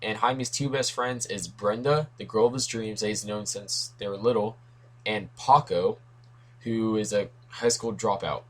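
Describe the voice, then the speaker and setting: A man's voice: fairly low pitch, steady tone, unhurried, a bit bright. A young man hosting a comics talk video in a casual, rambling way, his voice worn from losing it this week. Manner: rambling, self-correcting, lots of asides.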